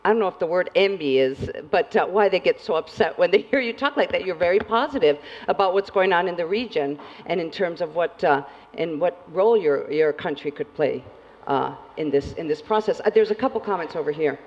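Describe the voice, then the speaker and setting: A woman moderates a conference panel, reacting lively and often murmuring agreement. Her voice rings in a large hall with long reverb, and the recording sounds dull. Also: lowish voice, fairly quick, hardly any pauses. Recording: muffled audio in a reverberant hall.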